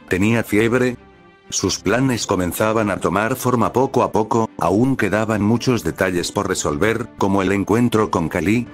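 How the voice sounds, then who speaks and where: Low-voiced man narrating a story. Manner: measured reading in short phrases. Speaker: fanfiction narrator. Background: soft background music.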